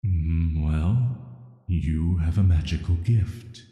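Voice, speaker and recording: A man playing an ancient cursed villain in a sleep-aid audio roleplay, speaking in a soft, flat, soothing and intimate way, flirty yet dangerous. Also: low-pitched, slow, highs cut off. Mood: calm, admiring. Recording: echoey, long reverb.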